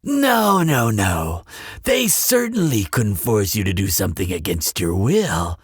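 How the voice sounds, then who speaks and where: A man's voice: on the low side, big pitch swings, slightly rough, no room sound, studio quality, exaggerated voice. A man playing a courteous, slyly persuasive demon hotel receptionist in a scripted roleplay addressed to the listener, polite and coaxing. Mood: coaxing, reassuring. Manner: unhurried, polite, coaxing salesmanship. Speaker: resort front-desk clerk.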